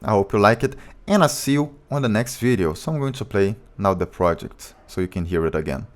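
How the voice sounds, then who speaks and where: A man with a low voice, a music producer walking through his vocal chain step by step in a plain, informal tutorial. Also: animated, fairly quick. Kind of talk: tutorial walkthrough.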